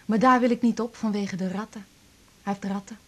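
A woman's voice: medium pitch, steady tone, brisk, natural voice. An actress playing a seventeen-year-old girl, blunt and offhand, in a naturalistic radio drama. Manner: casual, matter-of-fact.

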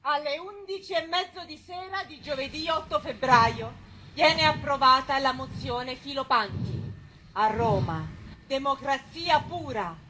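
A woman's voice: high-pitched, unhurried, sharp tone, slight background noise, poor audio. A woman delivering scripted theatrical narration of historical events.

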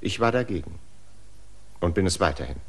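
Radio drama: a prim, lecturing teacher, very deep voice, lively intonation. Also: male voice, a little fast, slightly rough, exaggerated voice. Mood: officious. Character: stiff pedantic male village schoolteacher. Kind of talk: radio play acting.

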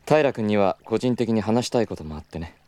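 Wealthy young gentleman played by a man, low register, animated intonation, smooth and teasing, audio drama. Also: a little fast, no echo, lightly acted. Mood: composed, courtly. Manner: smooth, patronizing, knowing.

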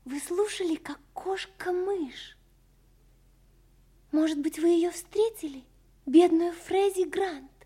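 An actress playing a naive, lively, emotional young woman in an audio drama, expressive and girlish. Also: very high-pitched, takes it slowly, pauses often. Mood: tearful, wistful.

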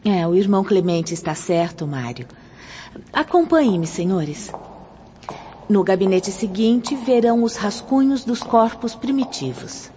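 An actress playing a gentle but authoritative female spirit guide in a radio drama, formal and courteous as she instructs. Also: medium pitch, lively intonation, a little slow, natural voice. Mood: courteous, instructive. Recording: muffled, reverberant hall, noisy radio-drama recording.

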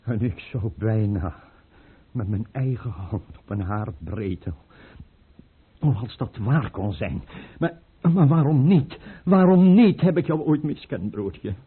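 An actor playing a tormented, suspicious, hard-drinking tsar in an old radio drama, theatrical and given to outbursts. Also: male voice, mid-range voice, expressive, slow, breathy edge, exaggerated voice. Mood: anguished, overwrought. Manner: theatrical, brooding, swinging into outbursts. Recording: muffled, narrow-band old radio recording.

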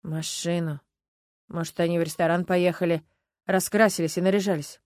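A woman's voice: on the low side, steady tone, moderate pace, a little mellow, dry recording, studio quality, natural voice. A woman voicing a casual, chatty male friend on the phone, in an audiobook.

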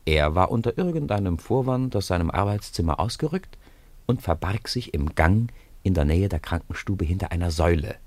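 A man narrates a 1950s radio play with a very deep voice. His intonation rises and falls vividly. The recording is dry and close. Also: a little fast, no echo. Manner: expressive storytelling with lively intonation.

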